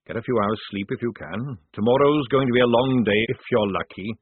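Old army sergeant man reading, audiobook: brisk, sardonic, husky, phone-band sound. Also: medium pitch, no echo, phone-quality sound, lightly acted. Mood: commanding.

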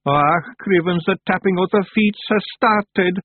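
A man's voice: sits high, measured pace, dry recording, pitched above the performer's own voice. A man voicing a tiny, dim, easily cowed storybook clansman with a Scottish accent and a moaning, melodramatic manner. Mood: terrified wailing. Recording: thin, phone-like band-limited sound.

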